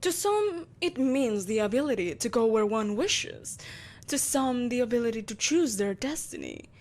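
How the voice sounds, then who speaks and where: A woman's voice: fairly high pitch, wildly swooping pitch, normal speed, a little mellow, exaggerated voice. A woman playing an imperious, seductive evil empress in a scripted roleplay audio drama, speaking in a haughty, theatrical, coaxing manner. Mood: coolly coaxing. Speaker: voice actor.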